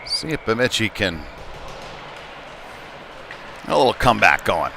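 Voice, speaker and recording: Low-voiced man calling hockey over rink noise. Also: very animated, unhurried, gravelly. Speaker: hockey play-by-play commentator. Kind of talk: live sports commentary. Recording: noisy indoor rink ambience.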